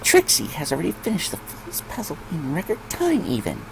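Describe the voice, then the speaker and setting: A man's voice: on the high side, wildly swooping pitch, a little fast, vocal fry, noisy background, voice pushed up, full character voice. A man voicing a proud, whiny, indignant little pony girl in a storybook reading. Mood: indignant, boastful. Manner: whiny, speaks of self in third person.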